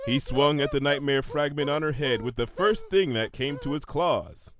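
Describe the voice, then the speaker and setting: A man reading a comic story aloud in an expressive, scripted narration style. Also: on the high side, moderate pace. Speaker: narrator, fan fiction reader.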